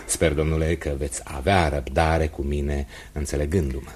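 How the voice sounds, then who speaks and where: An actor playing an earnest, respectful young pupil in a radio drama, husky and formally impassioned. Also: male voice, rumbling low, fairly even intonation, darkish tone. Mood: bitter, earnest.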